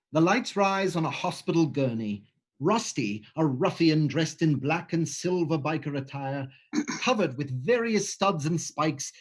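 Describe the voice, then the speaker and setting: A man reading stage directions. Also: a little high. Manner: plain, even reading.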